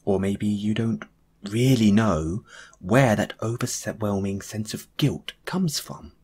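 A man speaking in a soft, breathy, sometimes whispered voice, gently reassuring the listener in an intimate comfort roleplay monologue. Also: fairly low pitch, slow. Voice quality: breathy, hushed, at times whispering. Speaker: audio roleplay performer.

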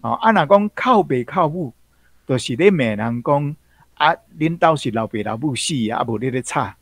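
A man's voice: fairly high pitch, lively intonation, moderate pace, no room sound. A man with a Taiwanese accent explaining vocabulary as a guest teacher on a programme. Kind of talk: explanatory commentary.

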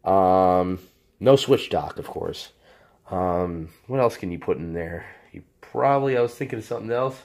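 A man with a hoarse voice from a cold, casually reviewing a product off camera while he handles it, rambling and correcting himself. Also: low voice, expressive, normal speed, darkish tone. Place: indoors at a table. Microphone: handheld camera, off-screen speaker.

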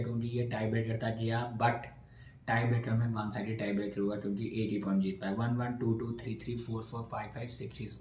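A man's voice: medium pitch, darkish tone, a little hiss or hum. A young man from India talks to camera, giving a casual post-match tennis analysis and listing game scores one by one in short phrases. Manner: talking straight to camera, gesturing with his hands. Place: small room indoors. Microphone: camera in front, upper body framed. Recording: roomy echo, faint background ambience.

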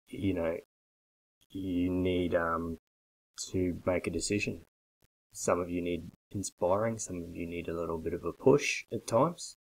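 Deep gravelly man pitching bluntly. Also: rough recording. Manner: blunt, persuasive, emphatic with hand gestures. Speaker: coffee business consultant. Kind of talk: direct-to-camera sales pitch. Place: small bare office with desk, indoors. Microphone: webcam at desk.

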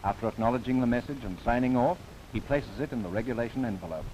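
A man narrating a scripted 1930s documentary film in a formal, measured, earnest manner. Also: mid-range voice, darkish tone. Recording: noisy 1930s film soundtrack.

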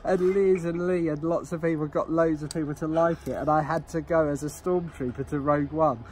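A man's voice: a little high, fairly even intonation, brisk, darkish tone. A middle-aged man, chatting casually and reminiscing as a host outdoors. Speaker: host, pantomime writer and performer. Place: outdoors in a leafy garden. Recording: noisy outdoor phone recording.

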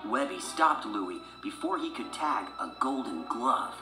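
A woman's voice: very deep voice, animated, a little slow. A woman narrating a children's storybook from a script as a read-along. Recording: roomy sound with music behind.

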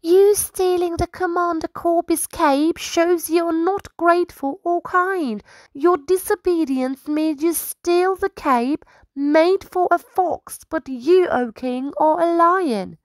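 Woman voicing a high-pitched, earnest little squirrel scribe. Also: takes it slowly, dry recording. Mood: bold, scolding. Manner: proclaiming, earnest, preachy. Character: squirrel royal scribe, small, bold, earnest and moralizing. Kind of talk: children's storybook read-aloud.

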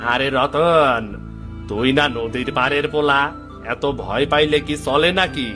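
A man playing an excitable village fisherman in a folk-tale cartoon, speaking colloquial rural Bangladeshi Bengali with exclamations. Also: mid-range voice, a little slow, lightly acted. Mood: worried. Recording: music behind the voice.

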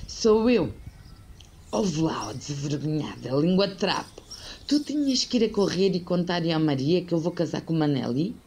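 A woman's voice: low voice, big pitch swings, normal speed, a little mellow, breathy edge, exaggerated voice. A woman playing a theatrical, scolding, lovelorn older village busybody in a radio soap, with a European Portuguese accent. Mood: indignant, scolding. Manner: theatrical, indignant, plaintive. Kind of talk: old-fashioned radio soap acting.

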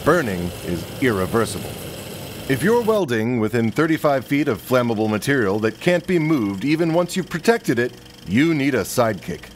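A young man presenting a scripted workplace safety video in a wry, deadpan joking manner, recorded in a large industrial workshop. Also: mid-range voice, normal speed, a little hiss or hum. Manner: wry, deadpan jokes between safety tips. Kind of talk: scripted comedic safety narration.

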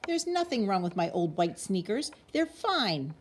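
A woman's voice: mid-range voice, very animated, a little slow, slightly rough. A woman voicing a sulky young boy koala from a storybook, protesting in a growly, whiny manner. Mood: grumpy.

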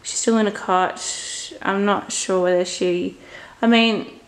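A woman's voice: mid-range voice, fairly even intonation, slow pace, roomy sound, low-quality recording. A young Australian woman in her twenties chatting casually to camera at home, hesitant and stop-start with a slight stutter. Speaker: vlogger. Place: inside a house. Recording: clear background noise on home camera audio.

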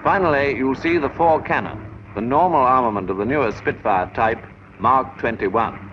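A man narrates a 1940s military instructional film in a medium-pitched voice. His delivery is measured and explanatory, as if teaching recruits from a script. The old soundtrack is dull, with faint noise under the voice.

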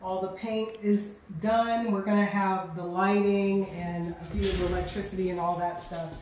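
A middle-aged woman giving a relaxed, chatty walkthrough of a house under renovation, filmed on a phone indoors. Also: mid-range voice, moderate pace, recorded from a distance, slight background noise. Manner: relaxed, chatty, pointing things out. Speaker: real estate investor giving a house tour. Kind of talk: casual walkthrough tour. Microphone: phone camera held by someone else. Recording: echoey empty rooms, voice off-mic.

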